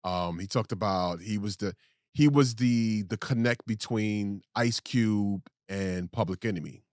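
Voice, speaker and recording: A man's voice: lowish voice, a little slow, darkish tone, no echo, studio quality. A man co-hosting a podcast, chatting casually and rambling off on tangents. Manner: rambling, digressive storytelling. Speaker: podcast co-host.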